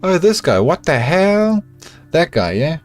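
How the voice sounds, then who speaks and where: A man's voice: fairly high pitch, a little slow, faint music behind. A middle-aged man with a South African accent, chatting with his live chat as he plays a colony-building game. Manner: relaxed, chatty, talks to himself while building. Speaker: game streamer. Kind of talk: live gameplay commentary with chat.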